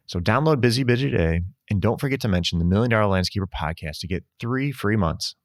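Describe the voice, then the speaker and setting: Low-pitched man reading a podcast advert. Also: expressive, quick pace, dry recording, studio quality. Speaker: sponsor ad reader. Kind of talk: scripted ad read.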